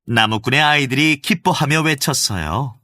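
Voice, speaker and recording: A male voice actor narrating a children's fairy tale with gentle, expressive storytelling, recorded close in a studio. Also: mid-range voice, moderate pace, dry recording.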